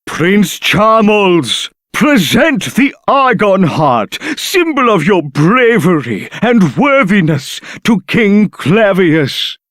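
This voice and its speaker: Casting: solemn royal herald for a game, declaiming, slow, sweeping intonation. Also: male voice, sits high, very animated, a bit bright, dry recording, studio quality, heavily acted. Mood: ceremonious. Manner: ceremonial, declaiming. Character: solemn, formal royal court official. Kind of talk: video game character acting.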